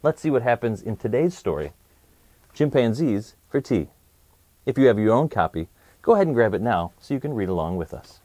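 A man in his thirties hosting a children's read-aloud, presenting picture books in an expressive storytelling way. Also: mid-range voice, brisk, no echo. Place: small indoor room set, seated.

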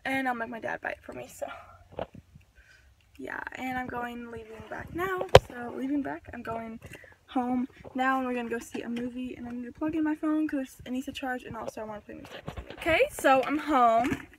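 A woman's voice: high-pitched, moderate pace. A seventeen-year-old young woman chatting casually to her phone, rambling along as a vlogger. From California. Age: 17, teenager. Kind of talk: casual rambling vlog chat. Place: mostly inside a car. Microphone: phone at arm's length. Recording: noisy handheld phone with vehicle sounds.

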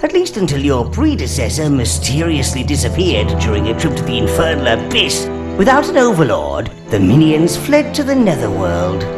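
Old game minion, raspy, servile, sly, theatrical, hugely animated pitch, music behind. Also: male voice. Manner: fawning, theatrical narration to his master. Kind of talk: video game cutscene acting.

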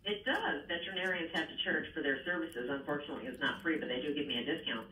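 A woman's voice: deep voice, expressive, fast, pushed and bright. A woman with a Canadian accent speaking earnestly as a radio interview guest, heard from a radio playing across a room. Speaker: animal rescue founder, radio guest. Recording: distant, echoey, noisy radio playback in room.

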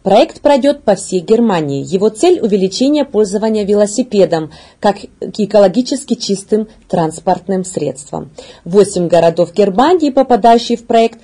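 A female news presenter speaks in a mellow, dark tone with a husky edge. Her pitch sits in the middle for a woman. She reads from a script in a measured, formal way. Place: indoor studio.

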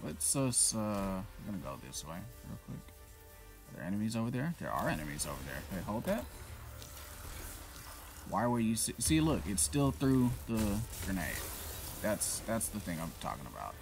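A man's voice: fairly low pitch, very animated, normal speed, gravelly. A man giving casual gameplay commentary, talking to the enemies on screen and trash-talking them with plenty of swearing. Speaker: gaming channel host. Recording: voice over loud game music.

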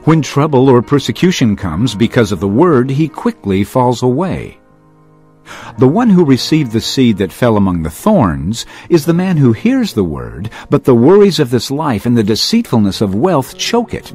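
An actor playing an earnest man teaching crowds in parables, in a measured storytelling delivery for a dramatised audio Bible. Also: mid-range voice, very animated, a little mellow, slightly rough. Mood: instructive. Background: music.

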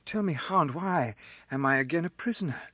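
A man reading an audiobook, voicing a composed young gentleman who speaks in a measured, questioning way. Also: fairly high pitch, expressive, no room sound, voice pushed up.

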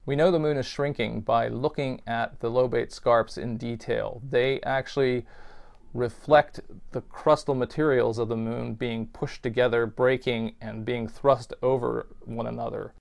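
A man's voice: mid-range voice, steady tone, measured pace, creaky. A middle-aged man explaining research findings as a scientist in an interview. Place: indoor office.

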